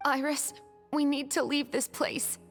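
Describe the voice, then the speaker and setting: A resolute young woman from a video game. Her high voice stays cool, composed and firm, over soft background music. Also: flat delivery, a little slow. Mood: tense. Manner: cool, matter-of-fact, firm. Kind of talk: scripted video game voice acting.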